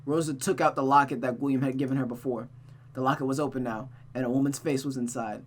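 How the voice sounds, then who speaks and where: A woman's voice: very deep voice, steady tone, fast talker, slight background noise. A woman reading a visual novel aloud as host, in a dramatic storytelling style. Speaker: storytime host, game reader. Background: music.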